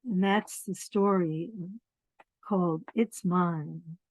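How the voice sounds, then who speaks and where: A woman reading picture books aloud to young children, expressive, pausing to explain things in simple words. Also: fairly low pitch. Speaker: children's storyteller. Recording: dull, roomy lo-fi sound.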